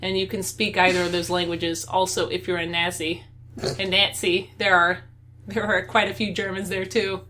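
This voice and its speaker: A woman's voice: on the low side, flat delivery, moderate pace, bright, forceful tone. US woman, podcast co-host, chatty and self-deprecating, faint noise. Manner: rambling, laughs often, self-deprecating. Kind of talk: casual chat.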